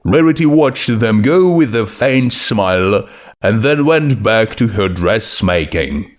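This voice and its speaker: A man narrates a story from a script. He takes his time over each phrase, and his pitch moves in a lively, expressive way. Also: slow pace. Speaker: narrator reading fan fiction.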